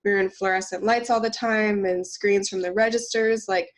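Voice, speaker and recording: A woman's voice: mid-range voice, fairly even intonation, normal speed. A woman with a congested cold voice co-hosting a nutrition podcast in casual chat, laughing often and using lots of fillers. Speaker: podcast co-host.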